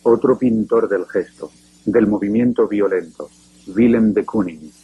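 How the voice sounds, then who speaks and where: A man narrating a documentary in a grave, deliberate scripted voice. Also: fairly low pitch, husky, highs cut off.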